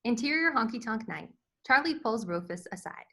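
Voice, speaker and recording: A woman's voice: fairly high pitch, animated, normal speed, bright, forceful tone. A woman reading stage directions as narrator in scripted narration at a table read.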